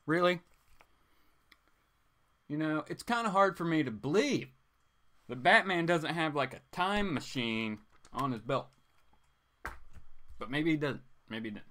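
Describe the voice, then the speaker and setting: A man's voice: fairly high pitch, lively intonation, unhurried, long pauses, a bit bright. A man hosting a casual comics livestream, rambling informally and turning theatrical when he reads aloud. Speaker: host of a comics reading livestream. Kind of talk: casual livestream chat with dramatic read-aloud bits.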